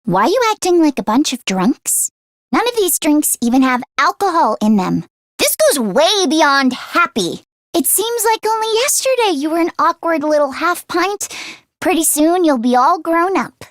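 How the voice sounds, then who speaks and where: A woman voicing an earnest, anxious teenage girl mechanic in an anime-style video game, expressive and shifting between timid and sassy. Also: high voice, normal speed, dry recording, clean studio sound. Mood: exasperated. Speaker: voice actress.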